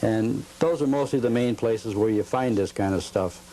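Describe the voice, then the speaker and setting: Male interview guest, low voice, relaxed and rambling. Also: normal speed, slight background noise, poor audio. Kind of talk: casual interview answers. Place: at home.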